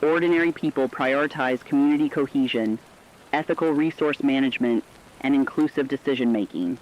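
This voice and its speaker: A man's voice: medium pitch, a little fast, slight background noise, lightly acted. Dull lo-fi man acting as male radio correspondent. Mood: earnest, measured. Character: earnest correspondent on an end-of-the-world radio broadcast. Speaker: co-anchor in a fictional radio broadcast. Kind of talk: scripted radio news narration.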